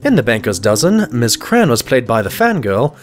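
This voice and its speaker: A man's voice: mid-range voice, sing-song, normal speed. Male credits announcer: middle register, scripted delivery, music bed underneath.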